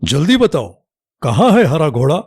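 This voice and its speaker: A man playing a king in an animated children's story, speaking in a commanding, deliberate way as he tests his minister. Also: fairly high pitch, husky, no echo, clean studio sound. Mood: curious. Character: male king, approving yet slyly testing his minister.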